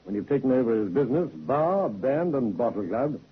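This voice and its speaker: A brisk Scotland Yard inspector. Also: male voice, medium pitch. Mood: dry, commanding. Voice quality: husky, lively. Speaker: actor. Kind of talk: 1950s radio acting. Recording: muffled vintage radio broadcast.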